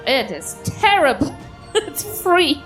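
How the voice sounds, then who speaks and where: A woman with a New Zealand accent, hesitant and halting as she plays a live tabletop game. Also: high voice, big pitch swings, fairly bright tone, faint music behind. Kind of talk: casual improvised banter. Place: live on stage at a festival club.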